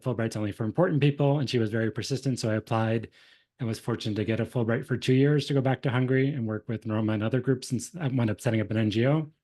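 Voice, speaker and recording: A man's voice: mid-range voice, a little mellow. An American man in his fifties giving an informal, direct introduction and advice as a panelist. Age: 50s. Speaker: career panelist. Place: small room, video call. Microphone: desk microphone up close, earbuds.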